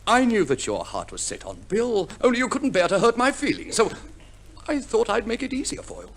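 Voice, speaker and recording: An actor playing a theatrically noble, secretly scheming husband in an old radio comedy play, declaiming melodramatically. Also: male voice, sits high, huge pitch swings, a little fast, gravelly, a bit bright, full character voice. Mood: scheming, smooth. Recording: old radio broadcast, faint noise.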